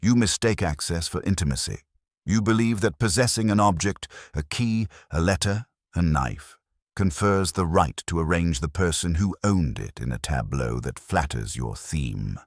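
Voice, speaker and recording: A man voicing a stern, pedantic older detective who delivers formal, scornful rebukes in a measured, ornate way. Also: sits low, lively intonation, dry recording, clean studio sound. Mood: imperious.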